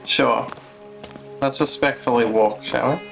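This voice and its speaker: A man gives casual live commentary while streaming a game. His voice is gravelly with heavy fry and his pace is slow, over faint background music. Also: mid-range voice, fairly even intonation, fairly bright tone.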